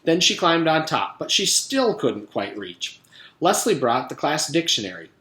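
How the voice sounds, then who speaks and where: A man's voice: fairly high pitch, big pitch swings, a little slow, fairly bright tone, echoey room. A man reading a children's storybook aloud like a teacher, expressively and speaking directly to young listeners.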